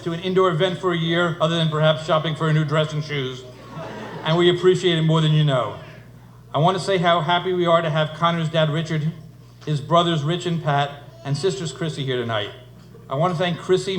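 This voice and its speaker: Echoey, high-pitched middle-aged man giving a speech. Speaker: father of the bride giving a speech. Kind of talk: humorous wedding speech told as a fairytale. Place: indoor wedding reception venue. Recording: echoey room, noisy event ambience.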